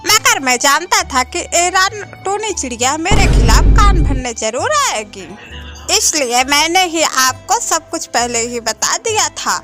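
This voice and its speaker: A woman's voice: high voice, sing-song, a little slow, music in the background. A woman voicing a sly, defiant male wolf in a children's cartoon, insolent and self-justifying. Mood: slyly pleading.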